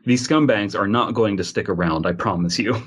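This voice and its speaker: A man's voice: lowish voice, brisk, husky. A man co-hosting a podcast, talking casually in a rambling, emphatic and opinionated way. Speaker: podcast co-host.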